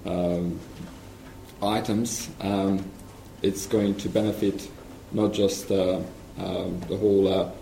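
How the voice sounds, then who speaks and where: A man with a Hungarian accent presents at a talk, pausing often with ums and restarting his sentences. His voice is lowish and mellow. The sound is roomy, with a faint hiss behind it. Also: level tone, creaky. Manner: hesitant, many ums and restarts. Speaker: software engineer presenting. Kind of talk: conference presentation.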